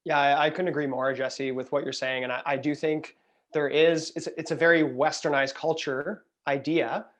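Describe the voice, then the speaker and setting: A man speaking as a panelist over a video call, earnest and measured, often checking in with "right?". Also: a little high, fairly even intonation. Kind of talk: panel discussion.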